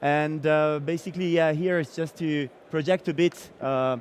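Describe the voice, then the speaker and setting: Founder, middle-aged man from Belgium, a bit higher-pitched, hesitant pitch full of "uh"s. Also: steady tone, moderate pace, a little hiss or hum. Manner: hesitant, many fillers and restarts. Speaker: startup founder. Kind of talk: startup pitch presentation.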